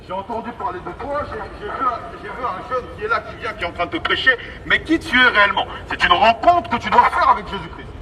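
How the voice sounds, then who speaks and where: A man preaching an evangelistic street sermon through a megaphone, emphatic, repetitive and exhorting. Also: high-pitched. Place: outdoors in an urban street. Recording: distant, noisy outdoor megaphone with street traffic.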